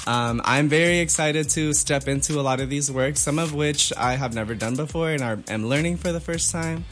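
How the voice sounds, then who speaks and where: A man's voice: on the high side, fairly quick, fairly bright tone, soft background music. A man from Guatemala, a visiting dancer, introducing himself politely on a radio show. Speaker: guest dancer. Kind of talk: radio interview self-introduction.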